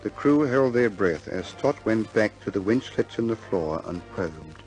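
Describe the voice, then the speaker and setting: Low-voiced male narrator over faint music. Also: expressive, unhurried, darkish tone, low-quality recording. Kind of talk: scripted dramatic narration.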